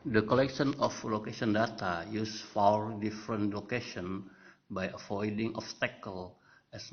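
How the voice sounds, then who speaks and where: A low-voiced man with an Indonesian accent reads a research presentation very slowly, phrase by phrase. The delivery is halting and scripted, and the recording is dull and lo-fi. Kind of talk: scripted academic presentation narration. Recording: dull lo-fi voice-over.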